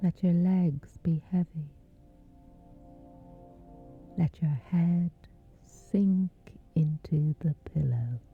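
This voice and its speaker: A woman speaking softly in a whispery, breathy voice, gently and soothingly guiding a sleep meditation with long, measured pauses. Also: low-pitched. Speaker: guided meditation host. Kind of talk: slow guided sleep meditation. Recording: dull muffled audio under music. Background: music.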